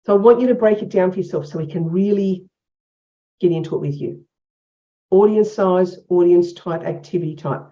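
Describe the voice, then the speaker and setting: Aussie woman coach on a muffled video call, mellow tone, lots of questions, encouraging. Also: lowish voice, normal speed. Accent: Australian. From Australia. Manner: energetic, encouraging, asks many questions. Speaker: tapping coach leading an online workshop. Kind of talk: interactive online workshop teaching.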